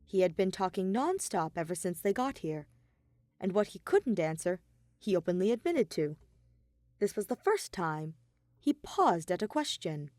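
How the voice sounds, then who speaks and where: Woman reading a story out loud, really animated intonation, dry sound with no room. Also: fairly low pitch, normal speed, dry recording. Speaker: audio fiction narrator. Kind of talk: scripted story narration.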